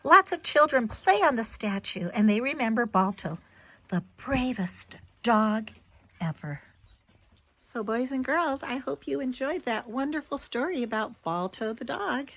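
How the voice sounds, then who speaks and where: A woman reading a story aloud to young children, with expressive, dramatic and emphatic delivery. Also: a little high.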